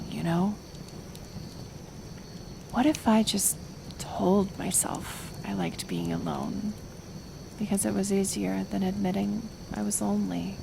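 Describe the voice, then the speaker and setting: A woman playing a kind, stubborn, lonely half-elf healer in an intimate ASMR roleplay, speaking softly and hesitantly with soothing pauses. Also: medium pitch, gravelly, background noise. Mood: vulnerable, musing.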